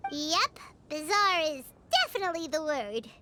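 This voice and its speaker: A woman's voice: sits high, lively intonation, moderate pace, background noise. A woman voicing a tiny, chirpy female companion creature in a video game, bubbly and inquisitive.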